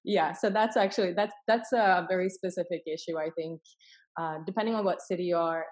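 Woman from sweden, english not her first language, bit low voice, explaining stuff casually in an interview. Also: steady tone, fairly quick, darkish tone. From Finland-born, raised in Sweden. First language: not English, which is a third language. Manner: informal, acts out quoted dialogue. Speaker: interview guest, property investor.

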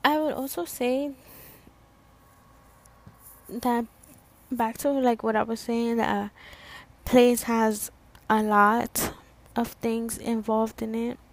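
Slow-spoken young woman, rambling. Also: fairly high pitch, steady tone. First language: Spanish. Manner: rambling, many fillers and restarts. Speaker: student podcast host. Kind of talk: casual solo podcast talk.

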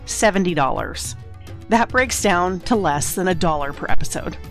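A woman's voice: lowish voice, animated, moderate pace, a bit bright, soft background music. A woman, the show's editor and producer, earnestly reading a prepared appeal asking listeners for support.

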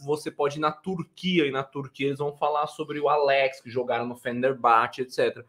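A man's voice: medium pitch, fairly quick. A young man with a Brazilian Portuguese accent, telling stories in a casual chat with friends and breaking into laughter. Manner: animated storyteller, breaks into laughter.

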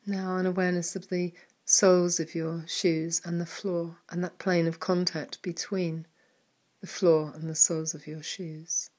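Slow, soft-spoken woman guiding meditation. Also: on the low side. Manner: soft and calm, some words trailing into a whisper. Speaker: meditation guide. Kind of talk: guided meditation.

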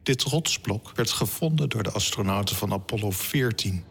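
A man's voice: fairly low pitch, moderate pace, vocal fry, a bit bright, husky. A man narrating a scripted science column in a wry, bookish manner. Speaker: astronomy podcast narrator.

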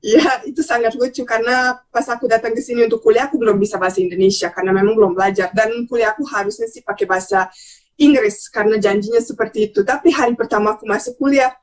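A young woman from Bosnia, telling stories casually as a podcast guest, talkative and expressive, mixing in English words. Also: a little high, fast talker. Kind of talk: casual conversational storytelling.

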